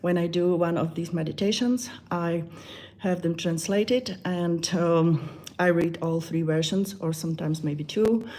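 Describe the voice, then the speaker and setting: Soft-spoken Czech woman, low mellow voice. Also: unhurried, slight background noise. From Czech Republic. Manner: soft, hesitant, many fillers. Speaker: meditation channel creator. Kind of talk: live stream chat.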